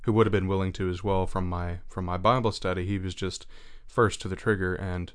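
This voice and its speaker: A young man speaks in a lowish, resonant voice, earnest and unhurried. He reflects aloud in a personal monologue, pausing to find his words. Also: little pitch movement. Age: young adult.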